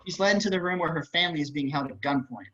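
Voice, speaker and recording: Guy reading script directions on a video call, bright voice, bit muffled. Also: fairly high pitch, racing, slight background noise. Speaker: table-read narrator. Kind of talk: reading screenplay stage directions. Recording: slightly dull video-call audio.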